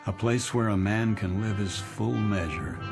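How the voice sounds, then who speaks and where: An American actor playing a middle-aged, disillusioned executive in a radio drama, halting and repeating himself as he speaks. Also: on the low side, vocal fry. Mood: wistful, dreamy. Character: weary, disillusioned married male executive, dreamy and self-deprecating. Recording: music bed under the dialogue.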